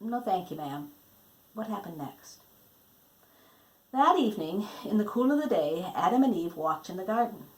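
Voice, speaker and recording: A woman reading a children's book aloud in a dramatic, emphatic storytelling manner with pauses. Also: mid-range voice, animated, low-quality recording. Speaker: storybook reader.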